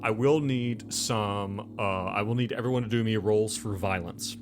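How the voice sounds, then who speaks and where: A man's voice: lowish voice, normal speed, over music. A man hosting a tabletop game as game master, explaining and narrating in a casual conversational style. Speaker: game master and show host.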